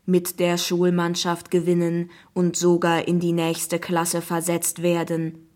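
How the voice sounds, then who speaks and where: A woman voicing a friendly, coaxing AI app character in a children's audiobook, speaking in a slow, measured way. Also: on the low side, monotone, darkish tone, voice pushed down. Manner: slow, measured, deliberate delivery. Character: friendly, smooth-talking AI app voice, inviting and coaxing.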